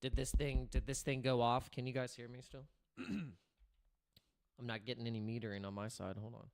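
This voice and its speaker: Raspy-voiced man rambling on a livestream. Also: medium pitch, steady tone, moderate pace, a little mellow. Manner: rambling, with many restarts and filler words. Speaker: crypto livestream host. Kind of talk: casual livestream chat. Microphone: streaming microphone through streaming software.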